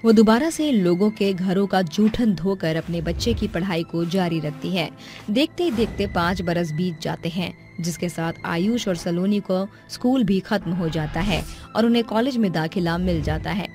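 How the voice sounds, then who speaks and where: A woman's voice: fairly low pitch, moderate pace, darkish tone. Woman storyteller narrating with music behind. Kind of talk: dramatic scripted storytelling. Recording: music under the voice.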